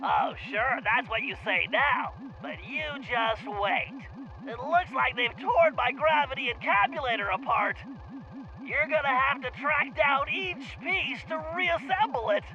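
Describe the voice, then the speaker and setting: Nerdy young male gang planner for an animated game, extremely high register, cautious, precise, lecturing delivery. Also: a little slow, highs cut off, full character voice. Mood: peevish, then analytical. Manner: precise, fussy, explanatory. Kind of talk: animated video game voice acting.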